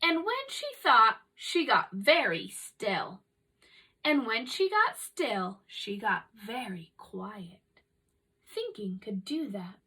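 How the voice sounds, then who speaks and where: A woman reads a children's story aloud in a high, bright voice. She takes it slowly and clearly shapes each line for young listeners. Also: very animated, far from the mic, poor audio. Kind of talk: animated children's read-aloud narration.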